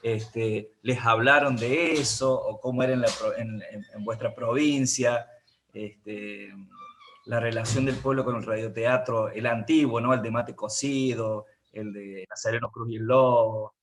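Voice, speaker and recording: A man with a medium-pitched voice conducts an interview. He asks in a conversational, rambling way, circling back with more questions. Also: fairly even intonation, measured pace, fairly bright tone, a little hiss or hum. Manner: rambling, inquisitive.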